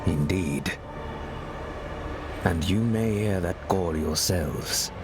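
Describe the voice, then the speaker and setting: A man playing a grave, wise mentor in a dramatic fantasy video game, speaking in a measured, solemn way. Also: low voice. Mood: calm. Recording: noisy game ambience behind voice.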